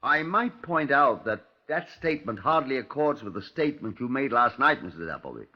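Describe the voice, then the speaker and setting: Chief inspector: mid-pitched man, formal, politely insistent, measured delivery, dull vintage radio sound. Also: big pitch swings. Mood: politely probing. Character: courteous, persistent Scotland Yard chief inspector, narrating his case. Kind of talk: old-time radio crime drama narration and dialogue. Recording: dull 1950s radio recording.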